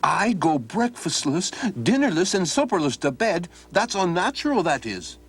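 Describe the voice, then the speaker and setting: A man's voice: sits high, big pitch swings, moderate pace, slightly rough, voice pushed up, exaggerated voice. A man voicing a cheerful young farmhand who turns hot-tempered, with a folksy way of talking. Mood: indignant. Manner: folksy storytelling speech.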